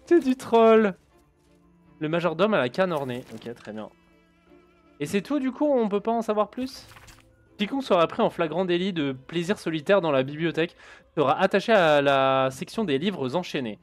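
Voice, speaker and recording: A man's voice: high-pitched, animated, normal speed, soft background music. A man streaming a video game, joking casually and reading in-game text aloud. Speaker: gaming streamer. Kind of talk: casual let's-play commentary.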